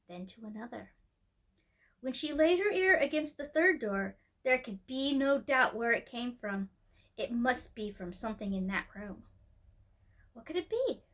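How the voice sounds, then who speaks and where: A woman's voice: sits high, fairly bright tone, poor audio. A woman storyteller hosting a storytime, reading a children's tale expressively. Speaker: storyteller, host of a storytime show.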